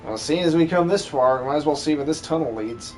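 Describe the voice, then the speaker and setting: A man's voice: a little high, expressive, fast talker, faint music behind, natural voice, rough recording. A man voicing a bold, eager young adventurer with a confident, slightly haughty air in a video game fan dub. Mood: curious, confident.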